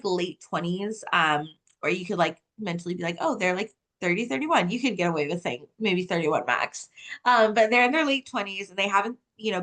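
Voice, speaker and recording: Chatty woman with a casual flow. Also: mid-range voice. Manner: animated storytelling, heavy use of "like". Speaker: author guest. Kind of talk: casual interview chat.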